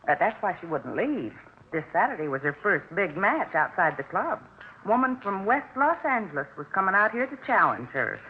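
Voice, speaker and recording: Actress as talkative older American woman, emphatic and fretful, very animated, 1950s radio drama, narrow noisy vintage sound. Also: mid-range voice, normal speed, lightly acted. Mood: insistent. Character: chatty, insistent older neighbour woman, folksy and fretful.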